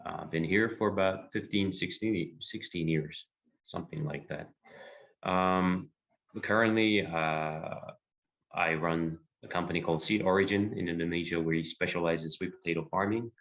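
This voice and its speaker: A man born in South Korea introducing himself as a webinar guest, halting with fillers. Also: low voice, creaky, fairly bright tone, highs cut off. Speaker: guest speaker.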